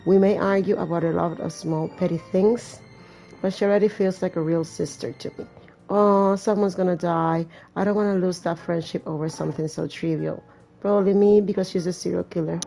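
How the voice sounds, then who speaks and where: Low, creaky, mellow woman gamer reading aloud. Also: steady tone, fairly quick, low-quality recording. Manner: wry, blunt asides. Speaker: gaming video host. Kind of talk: reading game text aloud with casual asides. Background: faint game music.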